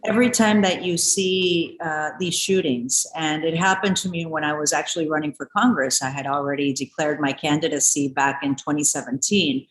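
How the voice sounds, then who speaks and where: A woman's voice: sits low, moderate pace, hardly any pauses. A woman born in Ecuador, a former congresswoman, speaking earnestly in a news interview with frequent restarts. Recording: echoey room.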